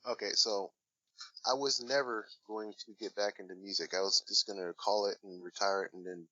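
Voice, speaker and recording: A man's voice: on the low side, fairly even intonation, normal speed, sharp tone, no room sound, muffled recording. A man talking casually as an interview guest, rambling and hesitant with many fillers. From Washington State, USA. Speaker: guest, hip hop artist.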